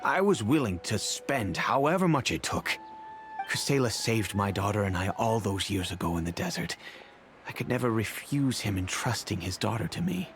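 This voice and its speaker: A remorseful, low-voiced middle-aged merchant. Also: male voice, very animated, slight background noise. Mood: thoughtful. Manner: measured, reflective, remorseful. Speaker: wealthy patron and father figure. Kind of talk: video game voice acting. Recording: game audio with faint music.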